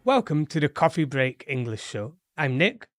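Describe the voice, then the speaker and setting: A man hosting an English pronunciation lesson, modelling sounds slowly and carefully. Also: a little high, big pitch swings, over loud music. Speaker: English teacher and host. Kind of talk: friendly language lesson.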